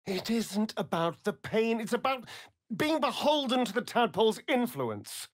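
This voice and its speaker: A man's voice: high-pitched, very animated, measured pace, dry recording, studio quality. A man with a British accent voicing a vain, sardonic, seductive vampire rogue in a fantasy video game, with an arch, flamboyant, mocking manner. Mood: earnest, guarded.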